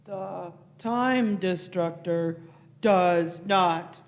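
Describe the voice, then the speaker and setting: A performer playing an aloof, suspicious alien delegate in a sci-fi radio play, with drawn-out, disdainful delivery. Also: medium pitch. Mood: accusing.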